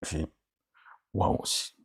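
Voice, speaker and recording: A middle-aged man giving a rambling, informal religious commentary, often checking in with his listeners and adding joking asides. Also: drawn-out words. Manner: digressive, asks "d'accord?" often, jokey asides.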